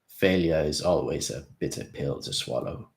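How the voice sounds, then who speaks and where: Man doing a grave older vampire, low voice, measured and steady. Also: vocal fry, heavily acted. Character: old calm male vampire, grave and steady.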